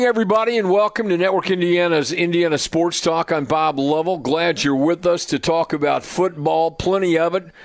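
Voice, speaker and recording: Guy hosting sports radio, highish voice, punchy show intro style, dry studio mic. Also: no echo. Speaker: sports talk radio host. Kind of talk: energetic radio show opening.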